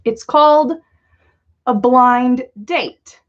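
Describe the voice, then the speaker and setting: High-voiced woman, unhurried, lo-fi stream. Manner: giggly, playful. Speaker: podcast host reading listeners' stories aloud. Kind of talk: storytelling read-aloud with casual chat on a livestream. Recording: dull lo-fi livestream audio.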